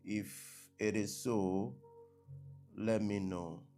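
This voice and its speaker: A man preaching on a live stream who repeats his blessings and keeps asking viewers whether he can be heard and seen clearly. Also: on the low side, fairly even intonation, extremely slow, dark tone, faint music behind. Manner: repetitive, checking with online viewers. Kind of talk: live-streamed sermon opening. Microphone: laptop mic on a live stream.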